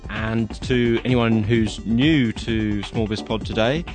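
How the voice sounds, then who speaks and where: A man hosts his podcast with a low-pitched voice. He talks in a relaxed, chatty way, full of little fillers and restarts. Faint music plays underneath. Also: slow pace. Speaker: podcast host. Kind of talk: casual podcast narration.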